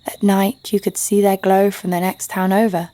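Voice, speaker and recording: A woman's voice: medium pitch, level tone, moderate pace, dark tone, dry recording, natural voice. A woman playing a sassy, snarky heroine who narrates an audio drama story over faint music. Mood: hushed recounting. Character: sassy, snarky female sidekick-owning storyteller, fond but teasing. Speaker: narrator and lead. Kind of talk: scripted first-person story narration.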